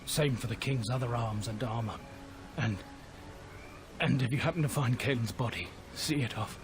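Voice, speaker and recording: A man's voice: mid-range voice, moderate pace, music in the background, natural voice. An actor playing a wounded male soldier in a video game, weary and regretful, resigned to his death. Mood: solemn. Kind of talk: video game cutscene acting.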